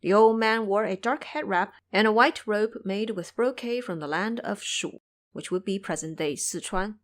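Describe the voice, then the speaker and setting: A woman's voice: mid-range voice, normal speed. A woman narrator delivering scripted storytelling for an audio drama.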